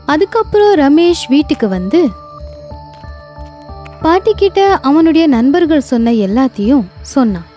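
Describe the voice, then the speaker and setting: High, lively woman storyteller. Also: unhurried, pauses often, faint music behind. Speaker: narrator. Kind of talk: animated horror story narration.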